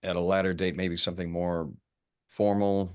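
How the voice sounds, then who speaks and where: Man playing a stammering, very low-voiced suitor. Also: little pitch movement, a little mellow, clean tone, lightly acted. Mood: nervous, eager. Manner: halting, stammering. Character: awkward, nervous man asking for a date. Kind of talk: script table read.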